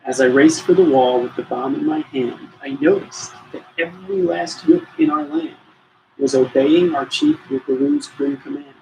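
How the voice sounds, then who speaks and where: A man narrates a rhyming storybook in a theatrical, rhythmic style. His voice is fairly high and very animated, with a creaky edge, over faint background noise. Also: normal speed, poor audio. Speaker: storybook reader.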